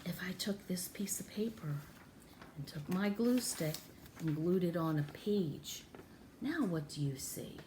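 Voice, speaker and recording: A woman's voice: fairly low pitch, animated, a little slow, background noise. A woman teaching and storytelling for small children, talking in an exaggerated sing-song way with coaxing questions and repetition. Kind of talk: children's story time with craft demonstration.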